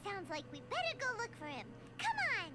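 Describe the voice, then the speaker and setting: An eager little fairy sidekick from a video game, with an extremely high, squeaky voice. The delivery is childlike and chirpy, swooping freely up and down. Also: female voice, wildly swooping pitch, fairly quick, pushed and bright, faint music behind, heavily acted. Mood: eager, willing. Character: small, eager, childlike floating fairy companion girl.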